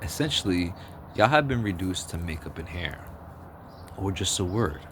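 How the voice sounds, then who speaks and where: A man with a deep bass voice giving a blunt, profane, rambling opinion monologue with many restarts. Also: very animated, normal speed, fairly bright tone. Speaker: vlogger. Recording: outdoor street noise with passing vehicles.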